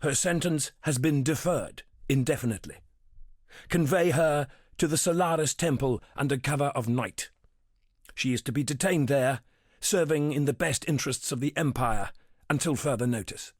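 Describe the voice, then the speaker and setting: An actor playing a proud, commanding emperor in a video game, declaiming formally and deliberately. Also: male voice, mid-range voice, no room sound, clean studio sound, close to an everyday voice. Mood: lofty resolve. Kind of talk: scripted video game monologue.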